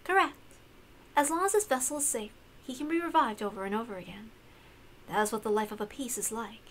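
A woman voicing a solemn, knowing witch in a visual novel, measured and didactic. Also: on the high side, a bit bright, slight background noise, close to an everyday voice. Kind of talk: acted visual novel dialogue.

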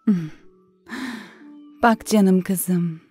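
A woman playing a gentle, patient mother with a soothing, tender manner in a children's cartoon dub. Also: on the low side, fairly even intonation, drawn-out words, breathy edge, soft background music, exaggerated voice. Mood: reassuring. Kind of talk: dubbed children's cartoon acting.

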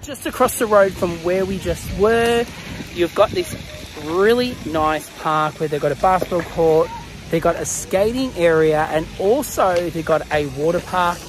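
A man's voice: sits high, expressive, unhurried, low-quality recording. A man in his thirties with an Australian accent, chatting casually as he shows a country town on a walking tour vlog, filmed on a phone held at arm's length. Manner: chatty, easygoing, explains as he films. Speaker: travel vlogger. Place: outdoors in a country town street. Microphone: phone camera at arm's length. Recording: outdoor street noise behind.

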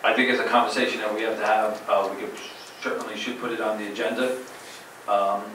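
Town manager with a New Jersey accent, low flat delivery, heard from far away in a very echoey chamber with noise. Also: male voice. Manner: measured, hesitant with many "um"s. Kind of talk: council meeting report. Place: large council chamber, indoors.

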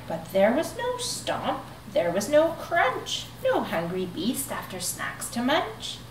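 A woman in her thirties reading a children's rhyming picture book aloud in an expressive, rhythmic way, seated in a living room. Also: fairly high pitch, takes it slowly, a little hiss or hum. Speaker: storybook reader. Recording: echoey room, mic at a distance.

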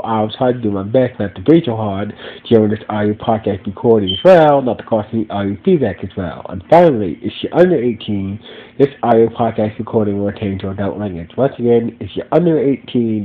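A man's voice: fairly low pitch, phone-quality sound. A man with a stuffy nose hosting a podcast, recapping a TV episode in a rambling, repetitive way with many restarts. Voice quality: nasal. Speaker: reviewer and podcast host. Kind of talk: casual spoken episode recap.

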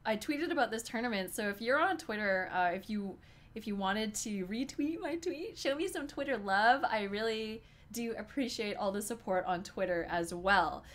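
A young woman in her twenties talks to her stream chat while playing online poker. She is excitable and giggly, and her voice is going hoarse. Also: mid-range voice, normal speed. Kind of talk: live-stream commentary to chat. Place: small room indoors at a desk.

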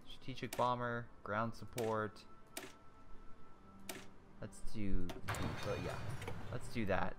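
A man's voice: lowish voice, steady tone, slow pace, long pauses, music in the background. A man in casual multiplayer gaming chat, joking and rambling as he thinks aloud through menus. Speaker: gamer playing a strategy game with friends.

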